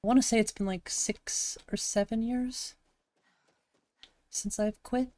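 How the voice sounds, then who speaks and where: A woman from Montana with a gravelly voice, chatting casually to her live-stream audience while she plays a game, thinking aloud and answering chat. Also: on the high side, fairly even intonation, a little slow, a little mellow, no room sound. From Montana, USA. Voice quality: gravelly, slightly raspy.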